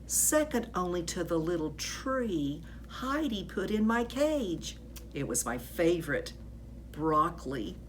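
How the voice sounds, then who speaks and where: Brief: female storybook reader, lowish pitch, unhurried and expressive, dramatic phrasing, slight background noise. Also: low-quality recording. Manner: dramatic, deliberate phrasing, drops into whispers. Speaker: school staff reader of children's books. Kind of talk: expressive children's storybook read-aloud.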